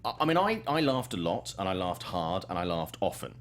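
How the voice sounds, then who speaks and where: A middle-aged man hosting a casual movie discussion. His voice is on the low side and swings widely in pitch, and he gives his opinions with enthusiasm and emphasis. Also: very animated, brisk. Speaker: film journalist and talk show host. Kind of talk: casual film review chat.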